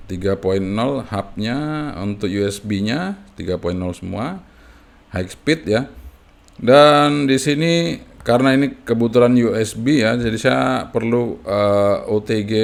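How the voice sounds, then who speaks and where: A man's voice: lowish voice, creaky. A man gives a casual hands-on tutorial as a hobbyist presenter, explaining piece by piece and often tagging phrases with a short confirming word. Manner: halting, piecemeal explaining with frequent "ya" tags.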